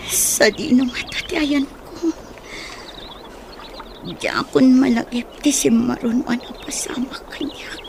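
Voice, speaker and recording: High-pitched, frightened young woman on the run. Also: slow pace, vocal fry, long pauses, heavily acted. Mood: weak, praying. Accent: Ilocano. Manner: breathless, whispery, pleading. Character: frightened young woman fleeing armed group, Shalani. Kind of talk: Ilocano radio drama acting.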